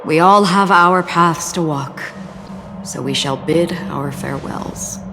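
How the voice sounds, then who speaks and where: A woman playing a solemn, enigmatic wanderer in a video game, measured and melancholy in manner. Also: lowish voice, over music. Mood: wistful.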